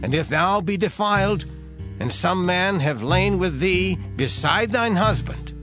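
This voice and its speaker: A man's voice: sits high, lively intonation, measured pace, fairly bright tone. An actor playing a solemn male priest who formally pronounces an oath in a dramatized Bible reading. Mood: grave. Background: music.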